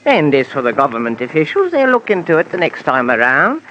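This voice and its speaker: An actor playing a chirpy, fussy and cowardly colonial insurance manager in an old radio drama, affable and evasive. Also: male voice, a little high, huge pitch swings, a little fast, fairly bright tone. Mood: chirpy, breezy. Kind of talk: 1950s radio drama acting. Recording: dull old radio, slight noise.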